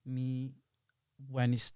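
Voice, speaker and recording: A man's voice: mid-range voice, monotone, drawn-out words, a little mellow, smooth, no room sound. Reflective male podcast guest. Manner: rambling, reflective, quotes imagined dialogue. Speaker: interview guest, filmmaker. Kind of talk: casual podcast interview.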